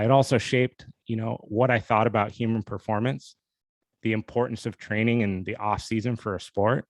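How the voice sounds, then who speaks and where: Young male podcast host with a low voice, candid and self-deprecating, recorded dry and close. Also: dry recording. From USA. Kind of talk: casual solo podcast storytelling.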